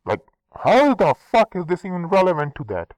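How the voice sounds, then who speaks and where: A man's voice: sits high, wildly swooping pitch, moderate pace, a little mellow, no echo, rough recording. A man from Pakistan with a Pakistani accent, hosting an angry response commentary, sarcastic and swearing often. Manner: heated, sarcastic, swears a lot. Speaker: commentary channel host.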